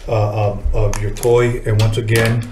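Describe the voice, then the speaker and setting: A man's voice: fairly low pitch, little pitch movement, unhurried, noisy background. A middle-aged man hosting a casual interview, speaking informally with slang. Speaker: podcast host, interviewer. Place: small podcast studio, indoors. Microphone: tabletop podcast microphone. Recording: roomy studio echo.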